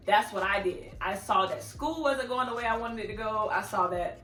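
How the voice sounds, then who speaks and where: A woman in her thirties talks straight to camera like she is chatting with friends, playful and quick to laugh. Her voice is bright and forward. The room around her rings with echo, and faint music sits underneath. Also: medium pitch. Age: 30s. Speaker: vlogger, channel host. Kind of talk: casual advice talk to camera.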